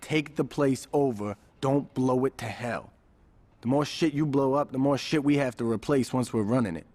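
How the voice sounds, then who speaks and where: A man's voice: mid-range voice, moderate pace, darkish tone, natural voice. A man acting an easygoing but businesslike street gang lieutenant in a video game.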